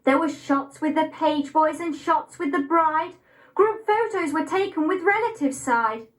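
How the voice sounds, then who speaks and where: A young woman of eighteen with an English accent, reciting poetry in a measured, deliberate, rhythmic way. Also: high-pitched. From England. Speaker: poetry reader.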